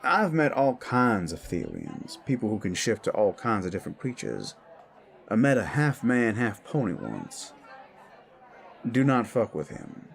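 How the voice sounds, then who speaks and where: A low, creaky-voiced werewolf mentor, acted by a man in an audio drama. He explains things slowly and wryly, laid-back and streetwise, with faint crowd murmur behind him. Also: wildly swooping pitch, heavily acted. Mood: measured, wry. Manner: measured, wry, explanatory.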